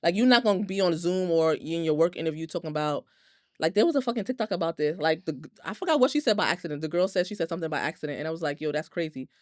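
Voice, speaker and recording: A woman's voice: lowish voice, animated, racing, no echo, clean studio sound. An American woman in a casual podcast discussion, explaining her opinions emphatically in slangy, sweary speech. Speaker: podcast panelist.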